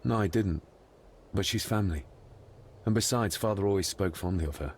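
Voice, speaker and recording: An actor playing an earnest, polite and inquisitive young gentleman heir of the 1920s in a video game. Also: deep voice, fairly quick, a little hiss or hum. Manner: polite, questioning. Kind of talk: dramatic game cutscene dialogue.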